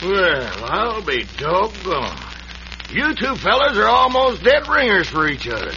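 Male sheriff: folksy Western drawl, high gravelly voice, slow and blustery, muffled old radio. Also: very animated, pushed and bright, exaggerated voice. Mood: flabbergasted. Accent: American Western drawl. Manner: folksy, exclamatory. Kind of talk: 1940s-50s western radio acting. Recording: muffled, lightly noisy old radio broadcast.

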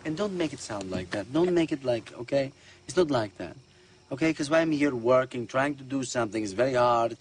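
Man playing flustered defensive guy, halting stammer, animated pitch swings, distant film clip with faint noise. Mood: flustered, exasperated. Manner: stammering, halting, repeats himself. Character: flustered, defensive man arguing about money. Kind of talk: naturalistic film dialogue.

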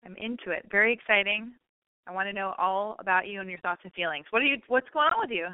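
A woman's voice: mid-range voice, fast talker, no room sound. A woman hosting a call-in show, giving blunt, emphatic advice to callers. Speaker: radio call-in host. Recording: phone-like narrow sound.

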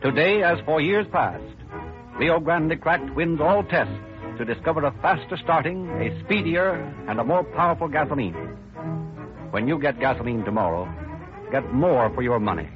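A man's voice: a little high, expressive, moderate pace. A man working as a 1930s-style radio announcer, delivering scripted narration with dramatic emphasis. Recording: muffled 1930s radio recording with music.

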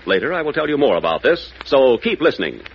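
A man's voice: medium pitch, very animated, fast talker. A man giving a scripted, earnest and moralizing 1940s radio address to young listeners. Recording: muffled, noisy old radio recording.

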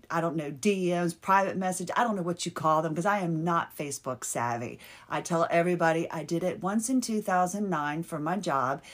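A woman hosting her own podcast, talking earnestly and haltingly, often restarting and repeating words. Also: on the low side. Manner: earnest and heartfelt, with bursts of laughter. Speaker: podcast host. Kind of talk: casual solo podcast monologue.

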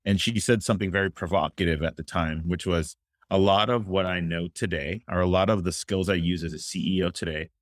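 A man's voice: low-pitched, fairly even intonation, brisk, no room sound, studio quality. A young adult man from Miami, a childcare company founder, answering interview questions in an earnest, storytelling way with casual fillers. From Miami, Florida.

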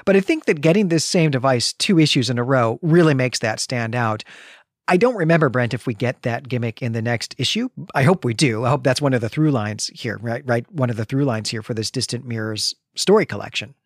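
A man's voice: mid-range voice, brisk, no echo. Professorial man in a dry, close recording. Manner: professorial, explanatory. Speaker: podcast co-host, professor. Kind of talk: conversational literary podcast discussion with explanatory asides.

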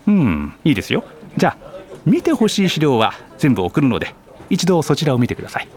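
An animated, courteous office manager. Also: male voice, a little high, fairly quick. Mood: earnest explaining. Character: courteous, easily flustered department manager explaining company history. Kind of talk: radio drama acting. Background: background music.

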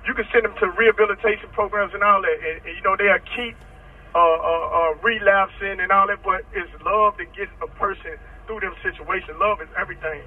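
A man's voice: high voice, steady tone, brisk, distant mic. A man calling in from prison, talking casually and rambling, often saying "you know what I'm saying", over a phone line. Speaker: incarcerated guest on a phone call. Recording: tinny prison phone line with faint noise.

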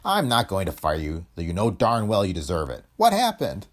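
A gruff, decisive film boss from a space adventure, acted by a male reader. He is brusque and slangy, with a growl over a soft heart, and his delivery is lively and varied. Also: low-pitched, fairly quick, exaggerated voice.

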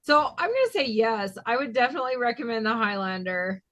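A woman's voice: a little high, lively intonation, brisk, a bit bright. A woman guest on a podcast, chatting about a movie in an easygoing, giggly way. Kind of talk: casual movie-review chat.

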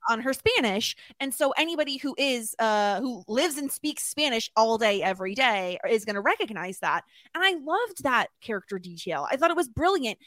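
A woman co-hosting a TV recap podcast, talking in a loose, chatty way. She thinks out loud, piles in filler words and restarts her sentences. Her voice sits a bit high and sounds close and dry, with no room around it. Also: animated, normal speed, hardly any pauses, no room sound. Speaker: podcast co-host. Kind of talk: casual chat recapping a TV episode.

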